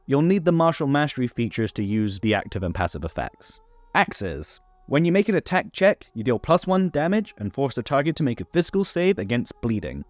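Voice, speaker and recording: Lively male explainer with music behind. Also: medium pitch, brisk, no room sound. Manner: instructional with playful asides. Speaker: host of a tabletop game rules guide. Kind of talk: scripted explainer narration. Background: background music.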